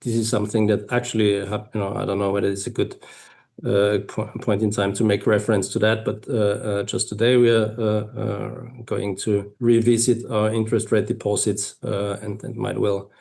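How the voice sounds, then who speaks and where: A man speaking as a finance executive on an earnings call, explaining figures in a measured, halting way. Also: lowish voice, level tone, gravelly, a little mellow. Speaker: finance executive presenting company results. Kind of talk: earnings call presentation and answers.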